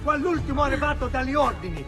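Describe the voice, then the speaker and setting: Hurried Italian man, a thief's accomplice, rapid and raised in pitch, game music behind. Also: big pitch swings, exaggerated voice. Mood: urgent, irritated.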